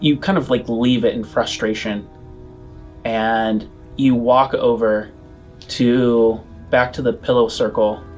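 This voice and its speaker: A man working as game master, narrating a tabletop game off the cuff in a loose, halting, conversational way. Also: medium pitch, steady tone. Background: music.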